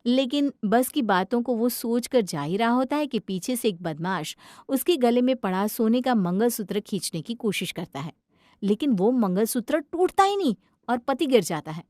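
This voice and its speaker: A woman's voice: on the high side, expressive, normal speed, darkish tone, dry recording, studio quality. A woman storyteller giving dramatic narration for a cartoon story.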